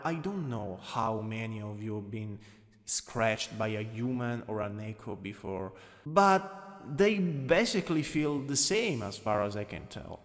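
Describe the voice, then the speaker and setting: A man with an Italian accent voicing a teasing, lowkey dominant anatomy lecturer in an ASMR roleplay. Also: a bit bright, heavily acted. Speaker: ASMR voice actor. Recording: long reverberant hall echo.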